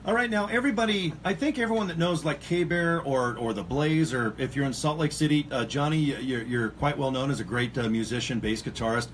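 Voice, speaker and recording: A man's voice: mid-range voice, a little fast, few pauses, slight background noise. A man hosting a casual radio interview, talking in a rambling, chatty way with lots of fillers. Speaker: radio host.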